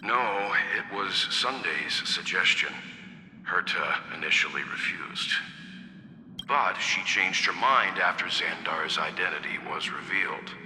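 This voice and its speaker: Deep, steady, considerate gentleman. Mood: serious, matter-of-fact. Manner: measured, serious, considerate. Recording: echoey hall-like reverb, music behind.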